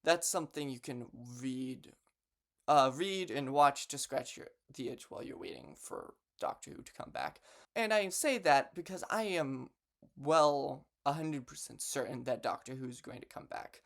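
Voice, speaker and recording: A man's voice: mid-range voice, animated, moderate pace, vocal fry, fairly bright tone. A young man talking casually and unscripted to camera as a vlogger, with a hesitant delivery full of false starts. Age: young adult. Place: bedroom in a home.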